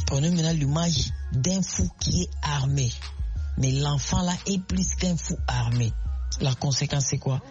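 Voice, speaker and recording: A man's voice: mid-range voice, darkish tone. A young man from Congo speaking French with a Congolese accent, calling in to a radio show and making an oratorical argument full of rhetorical questions and repetition. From Congo (DRC). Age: young adult. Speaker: radio call-in contributor. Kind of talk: impassioned opinion on radio call-in.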